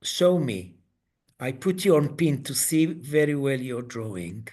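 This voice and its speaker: Slow-speaking man coaching on a video call. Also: mid-range voice, sing-song, a little mellow. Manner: slow, halting, non-native phrasing. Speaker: coach leading a webinar. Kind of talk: online webinar demonstration.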